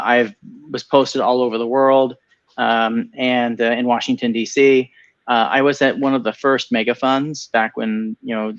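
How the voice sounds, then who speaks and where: An enthusiastic man talks loosely in a webinar, often restarting his sentences. His voice sits at an ordinary pitch. The audio is slightly dull and lo-fi, as over a video call. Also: a bit bright. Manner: enthusiastic, rambling with many repeated starts. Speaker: venture capital partner and co-host. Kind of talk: casual webinar conversation.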